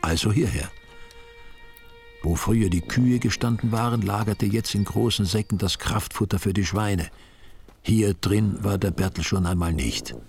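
A man's voice: fairly low pitch, expressive, moderate pace, gravelly, darkish tone, faint music behind. A male narrator reading a radio drama in a dry, wry way.

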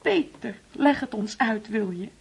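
A woman's voice: on the high side, measured pace, gravelly, husky, heavily acted. An actress playing a grieving, wounded middle-aged mother in a Dutch radio play, pleading and emotional. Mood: anguished.

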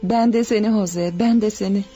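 An actress playing Maria, a devoted mountain wife, in a classic radio play. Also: female voice, medium pitch, steady tone, normal speed, dark tone, soft background music, natural voice. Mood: loving. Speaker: radio drama actor.

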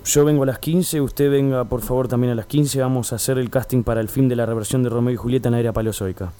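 A pompous Argentine director played by a man, with a dark, mellow voice. He rattles out curt commands quickly, in a radio sketch style. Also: medium pitch, steady tone, lightly acted. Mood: pompous, cool. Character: bossy, self-important male film director at an audition.

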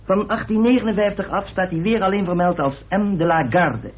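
Actor as sceptical researcher, male, radio drama, highish voice, deliberate, exact, tinny old recording. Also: noisy background, lightly acted. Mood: reporting.

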